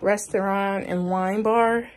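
A young woman in her twenties chatting casually to her phone camera, rambling with fillers. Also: mid-range voice. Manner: slow, relaxed, rambling with fillers. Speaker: vlogger. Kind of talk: casual vlog chat. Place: small cabin rental indoors. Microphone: phone held at arm's length.

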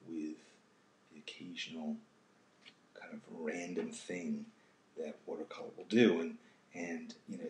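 A man in his sixties with a low-pitched voice talks off the cuff in a casual, thoughtful way. He pauses often and lets phrases sink to a murmur. The room around him adds noticeable echo, with slight background noise. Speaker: host of a live drawing session. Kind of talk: unscripted casual talk while drawing. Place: home kitchen, indoors.

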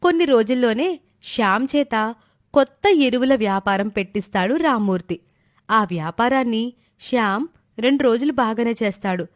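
Woman storyteller narrating a moral tale, highish voice, dry close sound. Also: normal speed, no echo.

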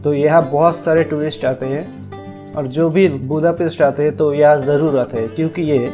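A man's voice: a little high, fairly even intonation, moderate pace, dark tone, soft background music. A man giving casual narration for a travel vlog as its host.

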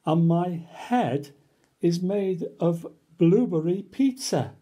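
A man voicing a silly, absurd robot monkey from a children's storybook, in a deliberate, goofy manner. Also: high voice, animated, warm tone, husky, heavily acted. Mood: silly, declaring.

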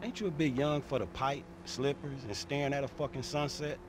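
A man's voice: medium pitch, steady tone, brisk, noisy background, natural voice. An actor playing a brash, sceptical young male street hustler in a video game, talking in slang with plenty of swearing. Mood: wry, curious. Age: young adult. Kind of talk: naturalistic video game acting.

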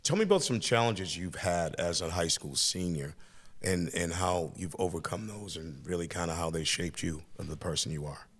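A man's voice: low voice, moderate pace. An older man, a teacher hosting a studio podcast, interviewing a student with reflective, encouraging remarks in long flowing sentences. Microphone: boom-arm studio mic.

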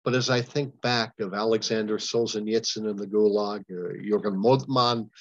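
An older man, a pastor leading a Bible study over a video call, preaching with emphatic, impassioned delivery and long pauses. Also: on the low side, fairly even intonation, no echo. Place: home room, indoors. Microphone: webcam on a video call. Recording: dull lo-fi webcam audio, dry.

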